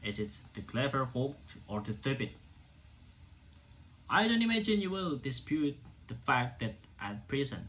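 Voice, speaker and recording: Defiant doctor in a stage play, acted by an amateur male reader, medium pitch, declamatory and indignant, slightly noisy recording. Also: a bit bright, natural voice. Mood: defiant, emphatic. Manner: emphatic, declamatory, halting in places. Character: defiant, indignant doctor orating to a hostile crowd.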